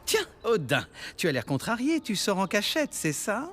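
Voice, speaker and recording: A teasing, poetic playwright from a French cartoon drama. The voice is high and swoops freely, with a flowery, theatrical delivery. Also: male voice, huge pitch swings, moderate pace, fairly bright tone, slight background noise, heavily acted. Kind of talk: French animated drama dub.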